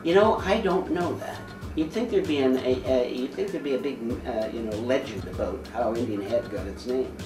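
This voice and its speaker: A man's voice: mid-range voice, moderate pace, roomy sound, far from the mic, over music, poor audio. A man in his sixties from Saskatchewan, a veteran actor chatting as a guest in an interview, joking about himself and laughing often. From Saskatchewan, Canada. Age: 60s. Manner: self-mocking, laughs often. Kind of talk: casual interview chat.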